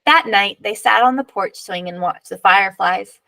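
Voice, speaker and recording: Female picture book narrator: expressive, measured read-aloud, some vocal fry, dry recording. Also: mid-range voice, no room sound.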